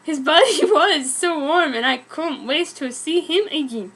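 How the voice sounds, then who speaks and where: A woman's voice: high-pitched, expressive, a little slow, creaky, a bit bright. A woman doing a dramatic reading of amateur fan fiction as narrator, theatrical and easily amused. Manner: theatrical, often breaking into giggles.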